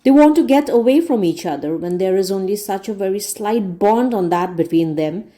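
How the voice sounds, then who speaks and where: A woman reading a classic novel aloud as a storyteller, in measured, deliberate phrasing. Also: medium pitch, lively intonation, mellow. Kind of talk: audiobook-style read-aloud narration.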